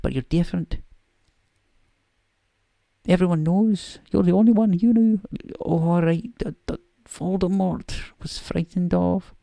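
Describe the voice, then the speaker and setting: A man's voice: high voice, unhurried, vocal fry, warm tone, dry recording, pitched above the performer's own voice. A man voicing a stern, irritable older female professor with a Scottish accent in a bedtime story reading, clipped and indignant. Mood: anxious, urgent. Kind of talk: softly voiced bedtime story character acting.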